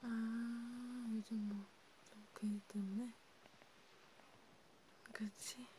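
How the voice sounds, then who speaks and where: A woman speaking in a soft, breathy, often whispered murmur, gently and hesitantly, as if chatting one-to-one in an ASMR roleplay. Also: a little high, flat delivery, very slow, slight background noise, low-quality recording. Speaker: ASMR host.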